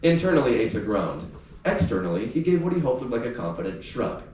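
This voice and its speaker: A man reading youth fiction aloud in an expressive, audiobook-like storytelling style. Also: mid-range voice, a little fast. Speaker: author reading aloud from a novel. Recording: echoey room, distant mic, faint noise.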